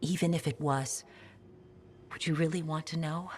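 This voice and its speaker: An actress playing a gentle, confused woman in video game dialogue who speaks hesitantly about uncertain memories. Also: low voice, steady tone, a little hiss or hum, natural voice. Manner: hesitant, halting, soft.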